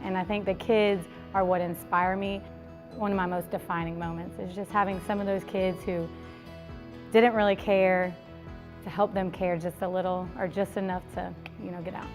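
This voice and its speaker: Proud, heartfelt woman teacher. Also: mid-range voice. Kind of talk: interview testimony.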